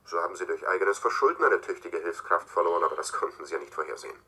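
An actor playing a cool, domineering scientist in a science-fiction radio play, delivering a formal, measured, matter-of-fact message. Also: male voice, deep voice, animated, exaggerated voice. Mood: detached, explanatory. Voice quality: husky, sharp-edged. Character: cool, domineering male scientist leaving recorded instructions to successor.

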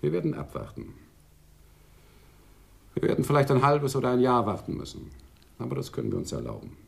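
Male gang boss, radio drama, courteous, commanding, gravelly, very animated melody, measured and formal. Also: medium pitch, heavily acted. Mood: solemn, veiled. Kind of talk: 1980s German radio drama acting.

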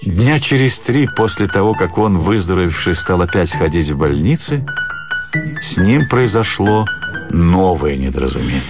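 Deep-voiced man narrating a literary story. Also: sing-song, normal speed. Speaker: narrator of an audio drama. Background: music.

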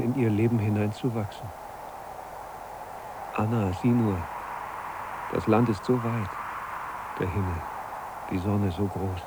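An actor playing an anxious, dreamy town archivist longing to escape, in a 1980s German radio drama with earnest, musing, literary delivery. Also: male voice, lowish voice. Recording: noisy cassette copy of radio play.

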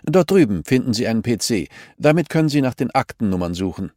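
A man's voice: medium pitch, very animated, fast talker, a little mellow, no room sound, clean studio sound, pitched above the performer's own voice, close to an everyday voice. A man voicing a grumpy, grey-haired female archive clerk in an audiobook, curt, shrewish and snappish. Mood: dismissive.

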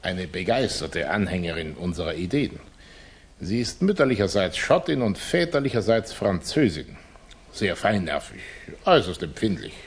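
An actor playing a cunning Russian émigré revolutionary in a German radio play, flattering and condescending, with florid, oily politeness. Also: male voice, low-pitched, husky, exaggerated voice. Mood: effusive, condescending.

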